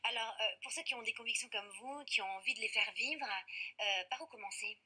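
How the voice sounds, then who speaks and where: Husky bright TV hostess. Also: female voice, a little high, a little fast. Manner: conversational, leading questions. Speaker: TV host. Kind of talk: TV interview questions. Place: TV studio.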